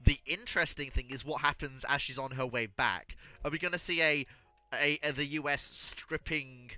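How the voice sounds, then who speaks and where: A man in an animated group discussion, stammering and repeating his phrases emphatically as he makes his point. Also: medium pitch, moderate pace.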